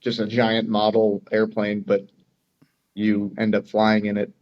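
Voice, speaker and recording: A man's voice: on the low side, monotone, measured pace. Slightly muffled American man chatting as guest. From Dayton, Ohio, USA. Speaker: podcast guest, air traffic controller. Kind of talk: casual interview chat.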